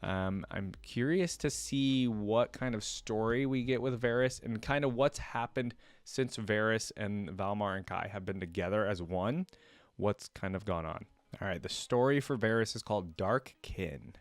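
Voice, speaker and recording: A man's voice: on the low side, a little slow, dry recording. A man hosting a reaction video, reading lore aloud and musing over it in casual commentary. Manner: musing, thinking out loud.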